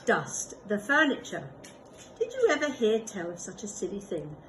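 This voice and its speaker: A woman voicing a cheerful, literal-minded housekeeper in a read-aloud storybook, speaking slowly and emphatically. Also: mid-range voice, wildly swooping pitch, a bit bright, slight background noise.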